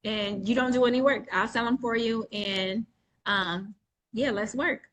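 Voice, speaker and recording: A woman's voice: fairly high pitch, measured pace, fairly bright tone. A woman coach on a video call, answering questions at length with frequent restarts and fillers. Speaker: coach selling a mentorship. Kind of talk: live webinar Q&A.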